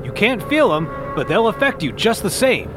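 Man playing a high, dramatic scientist hero. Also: sing-song, moderate pace, music in the background. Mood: grave. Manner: melodramatic, declarative. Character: commanding, earnest heroic scientist leader of comic-book team.

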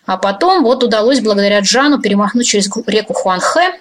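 A woman's voice: mid-range voice, animated, fast talker, slight background noise. A woman researcher answering interview questions thoughtfully, with many fillers and restarts.

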